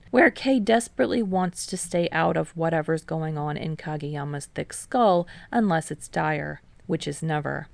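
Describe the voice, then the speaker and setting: Woman narrator for fiction, unhurried pace, gravelly creak, slightly low, dry close sound. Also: darkish tone, dry recording. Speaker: fan fiction reader. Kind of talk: scripted narration of a story, audiobook-like.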